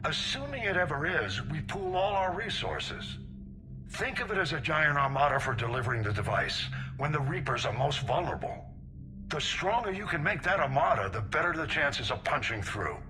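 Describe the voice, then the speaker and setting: An actor playing an older admiral in a video game, grave, deliberate and authoritative. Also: male voice, fairly high pitch, big pitch swings, background noise. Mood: grave, commanding. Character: commanding older male admiral, grave and determined. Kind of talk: video game cutscene acting.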